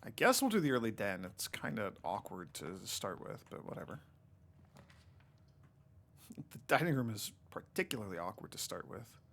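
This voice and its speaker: A man's voice: on the low side, sing-song, a little fast, creaky, a bit bright. Congested man chatting through gameplay. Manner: thinks aloud, reads game text out. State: congested, sniffly. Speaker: let's play host. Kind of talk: casual gameplay commentary. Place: home, indoors.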